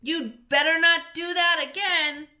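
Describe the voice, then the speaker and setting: A woman reading aloud, voicing the curious young girl Alice in a storybook with a clear, earnest delivery. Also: very high-pitched, fairly even intonation, unhurried, bright, forceful tone, voice pushed up, exaggerated voice. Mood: defiant.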